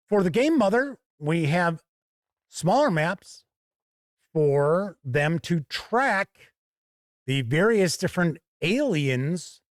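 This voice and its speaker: A man hosting a casual product review, talking deliberately and explaining things step by step with conversational asides. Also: on the high side, dry recording, clean studio sound. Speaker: reviewer and show host.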